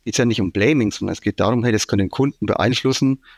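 A fairly low-voiced man with a Franconian German accent talks as a guest in an interview, explaining at length and filling his pauses with ums. His voice is recorded close and dry, with no room sound. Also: vocal fry, a little mellow, no room sound. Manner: explains at length with frequent fillers. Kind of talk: casual business interview.

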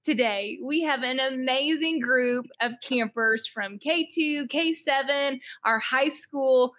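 Lady podcast host, high bright voice, upbeat and chatty. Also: slow. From Mississippi. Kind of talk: casual podcast hosting.

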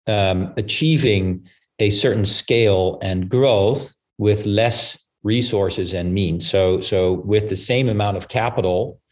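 Low-pitched man giving halting interview answers. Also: a little mellow. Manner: halting, discursive, frequent "you know" tags. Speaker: investment firm partner, panel guest. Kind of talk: thoughtful interview answer on a business podcast.

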